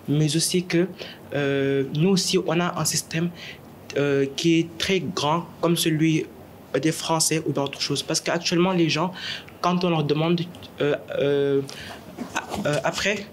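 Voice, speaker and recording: A young man from Senegal makes his point in a class book discussion. He speaks earnestly and repeats words as he searches for the next one. His voice sits a little high, over a faint background hiss.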